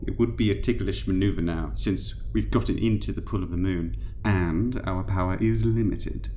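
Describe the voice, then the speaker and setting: A man's voice: deep voice, normal speed, mellow, slight background noise, close to an everyday voice. A man playing a serious professor who commands a rocket crew in a radio drama. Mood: grave, resigned.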